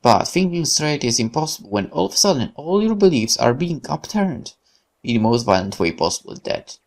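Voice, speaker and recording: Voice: mid-range voice, big pitch swings, fairly quick, a little mellow. A game streamer reading visual novel narration aloud expressively, occasionally stumbling over words. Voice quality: creaky.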